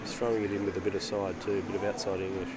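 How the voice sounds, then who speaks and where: A man's voice: deep voice, little pitch movement, racing. A man giving casual live co-commentary on a pool match, chatting with his partner. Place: pool venue, close to the players. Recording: loud noise at live venue.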